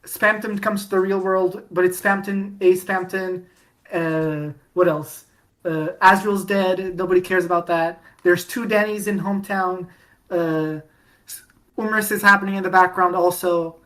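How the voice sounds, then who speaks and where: A woman's voice: on the low side, steady tone, measured pace, a bit bright. A woman co-hosting a fan podcast, talking casually with lots of restarts and fillers as she retells fandom history. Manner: rambling, many restarts and fillers, laughs often. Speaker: podcast co-host.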